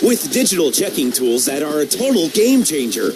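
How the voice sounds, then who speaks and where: A man's voice: on the high side, very animated, normal speed, noisy background. A man reading a scripted radio commercial over music. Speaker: commercial announcer. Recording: music bed behind voice.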